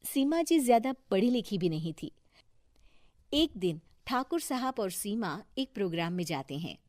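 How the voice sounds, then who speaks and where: A woman's voice: mid-range voice, very animated, normal speed, darkish tone, no room sound, clean studio sound. A woman narrating a scripted moral story for a cartoon video.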